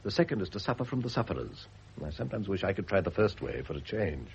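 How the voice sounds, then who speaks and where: Male for urbane, ironic British husband in radio play; low voice, very mobile delivery, self-indulgent wit. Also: sing-song, fast, vocal fry, full character voice. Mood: cynical, philosophising. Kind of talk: classic radio drama acting. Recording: muffled, noisy old radio recording.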